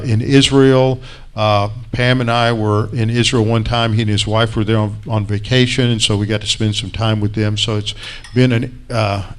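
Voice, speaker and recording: A man acting as host, giving friendly introductory remarks with fillers and repeats. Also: medium pitch.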